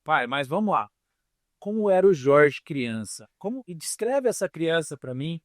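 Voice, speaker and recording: A man's voice: on the high side, very animated, moderate pace, dry recording, studio quality. A man hosting a podcast interview, asking short, friendly questions. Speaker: podcast host. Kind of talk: casual interview.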